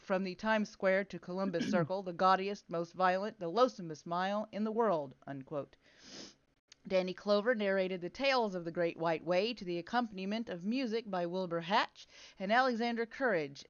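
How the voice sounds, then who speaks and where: Female stream host, clear voice, informal and self-deprecating, slightly lo-fi dry sound. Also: medium pitch, no echo. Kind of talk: casual livestream chat and read-out show introductions.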